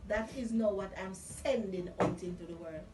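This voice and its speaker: A woman's voice: medium pitch, normal speed, distant mic, very noisy. A middle-aged woman with a Jamaican accent chatting casually and adding devout interjections. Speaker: chat participant. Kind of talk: casual chat with devotional interjections. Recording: roomy, off-mic, music behind. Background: clear background music.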